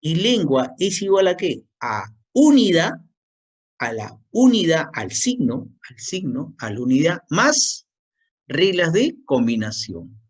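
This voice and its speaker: A man's voice: fairly high pitch, big pitch swings, slow, slightly rough. A male teacher giving a language lesson, repeating key points and quizzing the student with questions. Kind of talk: classroom lecture.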